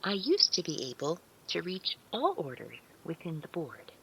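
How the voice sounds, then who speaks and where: A woman's voice: on the low side, very animated, moderate pace, fairly bright tone, a little hiss or hum, rough recording. An actress playing a meek, naive, otherworldly female servant in an audio drama, speaking in careful, stilted, deferential phrases with hesitant hums. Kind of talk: scripted audio drama roleplay.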